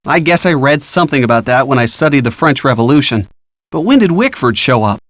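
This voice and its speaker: A man's voice: medium pitch, big pitch swings, a little fast, fairly bright tone, no echo. A man voicing an easygoing young boyfriend in a video game, talking in a casual, playful, teasing way.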